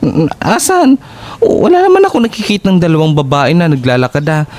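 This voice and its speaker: A woman's voice: low-pitched, animated, brisk, darkish tone. A woman playing a nervous, skeptical young tenant who stammers expressively in a horror audio drama. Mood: puzzled.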